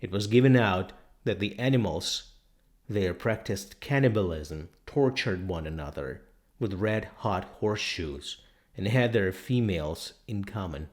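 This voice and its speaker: A man reading a novel aloud as scripted narration, in a measured way with the occasional stumble over words. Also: low voice, animated. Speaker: audiobook reader.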